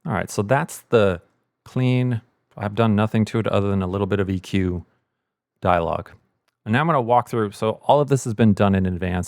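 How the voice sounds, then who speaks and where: A man's voice: sits low, a little mellow, no echo, studio quality. A middle-aged man hosting a casual audio tutorial, explaining things as a sound mixer would. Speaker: host, sound editor and mixer.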